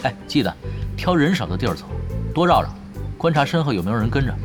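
Man acting a quick, wry gamer team leader. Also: medium pitch, very animated, close to an everyday voice. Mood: dry, exasperated. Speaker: voice actor, lead character. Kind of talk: Chinese radio drama dialogue. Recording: music bed behind voice.

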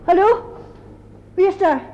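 Poised, arch theatre hostess, high voice, brisk and controlled, muffled noisy radio-play sound. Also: female voice, animated, heavily acted. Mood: alarmed. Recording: dull, noisy old radio play recording.

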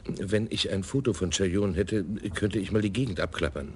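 An actor playing a methodical police detective who talks in a matter-of-fact way, in a 1980s radio drama. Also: male voice, sits low, moderate pace, husky. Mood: plain. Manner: matter-of-fact, muttering to himself.

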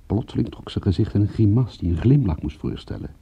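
Cynical private eye narrating a radio thriller: deep, very husky, deadpan, slightly muffled old recording. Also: male voice, quick pace, heavily acted. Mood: wry, matter-of-fact. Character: world-weary, cynical male private detective recounting a case. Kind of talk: scripted first-person detective radio-play narration.

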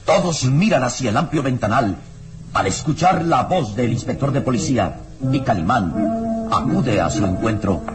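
A man's voice: mid-range voice, very animated, moderate pace, over loud music, natural voice. A man performing as the solemn, suspenseful storyteller of an old adventure radio serial, with dramatic scripted narration. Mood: tense narration.

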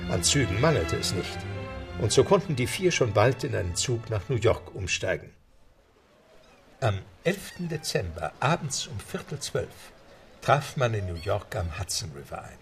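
A man narrating a radio play in a measured storytelling delivery. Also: medium pitch, very animated. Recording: narration over music.

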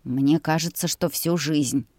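A woman's voice: low-pitched, a little slow, a little mellow, dry recording, clean studio sound, voice pushed down. A woman voicing a stubborn male dragon general, curt, smug and possessive, in a fantasy audiobook. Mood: amused, possessive.

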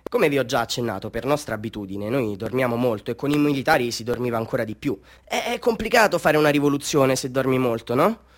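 A man's voice: medium pitch, expressive, fast, few pauses, lightly acted. A chatty, self-important street photographer played by a male actor works a group of tourists in a radio play monologue. His mid-range voice moves between pompous sales patter and confiding storytelling, full of rhetorical questions. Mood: animated, wry. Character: boastful, chatty small-time Bolivian street photographer hustling tourists.

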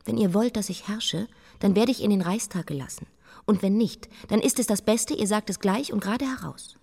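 A headstrong young queen in a radio drama, with a mid-pitched voice. She is commanding, direct and drily sardonic, her words ringing through a large reverberant hall. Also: normal speed, gravelly, warm tone, breathy edge. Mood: defiant, demanding. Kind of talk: radio play acting. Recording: echoing hall with long reverb.

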